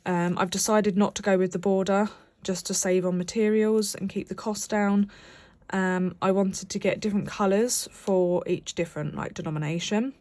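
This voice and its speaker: A woman's voice: medium pitch, fairly even intonation, normal speed, a little mellow, no room sound. A young British woman, bunged up from a cold, chatting casually about her budget as she stuffs cash envelopes. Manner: chatty, rambling, many fillers and restarts. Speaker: budgeting vlogger. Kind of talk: casual chat to camera while cash stuffing.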